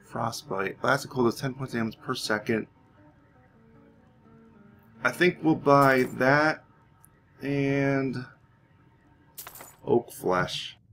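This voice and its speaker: A man's voice: mid-range voice, slow pace, creaky, long pauses, fairly bright tone, faint music behind. A man giving roleplay commentary on a video game, thinking aloud as he plays.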